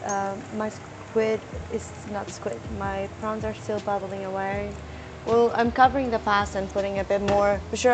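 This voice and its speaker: A young woman with a self-described squeaky voice chatting casually in her home kitchen while cooking, rambling with fillers and restarts and trying to talk slowly. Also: a little mellow, slight background noise. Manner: deliberately talking slowly. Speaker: cooking vlogger.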